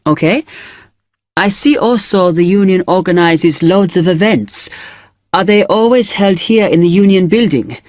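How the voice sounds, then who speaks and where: A woman as a polite low-voiced new student. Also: measured pace, darkish tone, no room sound, close to an everyday voice. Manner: hesitant, polite questions. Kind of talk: scripted exam listening dialogue.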